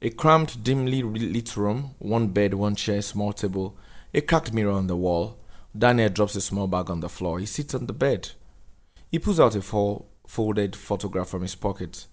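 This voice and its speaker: A man narrates a scripted drama, laying out each scene in turn. His voice is on the low side, and his delivery is deliberate and solemn.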